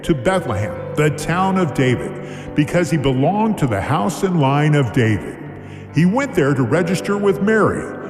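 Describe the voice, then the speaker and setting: A man playing a wise, devout, kindly woodsman in a radio drama, speaking in a measured, earnest way. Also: a little high. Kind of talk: radio drama, scripture reading. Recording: roomy, long reverb, music behind.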